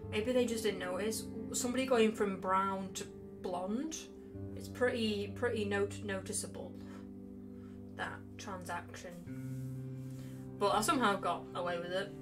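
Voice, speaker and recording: A woman's voice: medium pitch, unhurried, long pauses. A young woman streaming casually, rambling and sweary with a self-mocking streak. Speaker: game streamer. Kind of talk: casual chatty livestream. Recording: loud background music. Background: game music with guitar.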